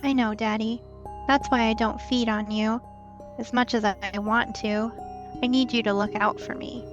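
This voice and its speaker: Lady doing a hungry little vampire girl, clingy and obedient, high childlike voice, dull recording, music behind. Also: steady tone, normal speed, lightly acted. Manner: childlike, plaintive. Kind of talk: dramatic audio theatre acting.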